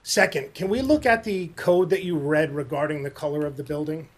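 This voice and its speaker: A high-pitched man speaks as a homeowner at a planning board meeting. He is pointed and argumentative and builds his case firmly. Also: brisk. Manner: pointed and argumentative, growing forceful. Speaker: homeowner making public comment.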